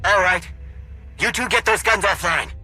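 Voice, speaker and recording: A man's voice: sits high, normal speed, bright, forceful tone, husky, faint music behind, heavily acted. A man playing a worried but dependable rebel technician, speaking urgently under pressure in a video game cutscene. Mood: resolute. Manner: tense, urgent.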